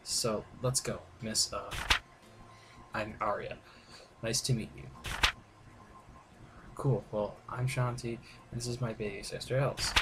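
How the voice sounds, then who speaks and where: A man's voice: fairly low pitch, steady tone, slow pace, pauses often. A man hosting a gaming video, reading the characters' dialogue aloud in a playful, informal way with some stumbles. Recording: very noisy gameplay recording with clicks.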